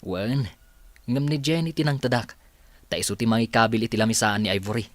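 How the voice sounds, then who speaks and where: A man's voice: mid-range voice, fairly quick, dry recording, natural voice. A man playing a brooding, competitive young boss's son in a radio drama. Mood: casual, sheepish. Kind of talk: Ilocano radio drama acting.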